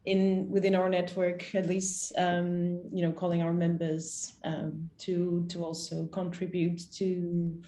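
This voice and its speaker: A woman's voice: fairly low pitch, flat delivery, takes it slowly, few pauses, warm tone. A woman moderating an online panel, thanking speakers in a friendly way.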